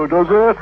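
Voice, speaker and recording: An actor playing a doddering, tipsy Victorian grocer husband, fussy and hesitant, in old radio drama style. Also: male voice, high-pitched, gravelly, exaggerated voice. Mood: tipsy, pleased. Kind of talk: 1950s radio drama acting. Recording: thin, narrow-band old radio recording.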